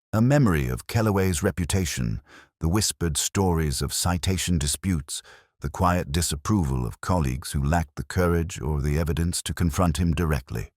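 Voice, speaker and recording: Really deep male narrator reading a moody detective story, measured but expressive pitch, dry close sound. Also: no room sound. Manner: measured, literary, brooding delivery. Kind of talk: scripted first-person detective story narration.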